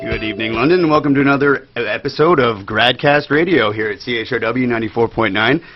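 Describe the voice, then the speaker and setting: Young guy hosting a radio show, talks quick and casual, sound is kinda dull. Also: mid-range voice, music in the background. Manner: casual, conversational. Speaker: radio host. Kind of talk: radio show introduction and interview. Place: campus radio station. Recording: dull, muffled radio audio.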